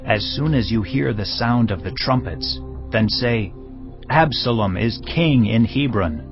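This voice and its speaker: An actor playing a proud, scheming young prince with emphatic, declamatory delivery in an audio Bible drama. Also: male voice, mid-range voice, expressive, a little slow. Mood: calculated. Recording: slightly muffled, music underneath. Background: background music.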